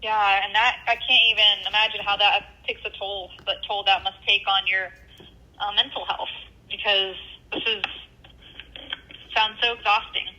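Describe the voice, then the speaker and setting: A woman working a helpline, speaking gently and hesitantly with many fillers on a phone call. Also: medium pitch, flat delivery, normal speed. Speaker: helpline worker.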